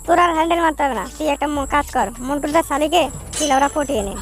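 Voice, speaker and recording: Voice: sits high, rapid-fire, close to an everyday voice. A performer voicing a crude, quarrelsome young village man in a comic cartoon, brash, mocking and slangy. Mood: mocking, irritated. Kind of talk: comic cartoon dubbing, foul-mouthed banter.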